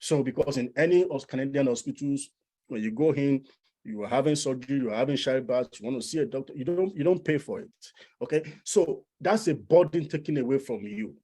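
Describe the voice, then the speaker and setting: A man's voice: mid-range voice, fairly quick, dark tone, slightly rough. A man with a Nigerian accent explaining things as a coach to an audience, persuasive and repeating phrases for emphasis. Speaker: immigration coach and presenter. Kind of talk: informal explanatory presentation to an audience.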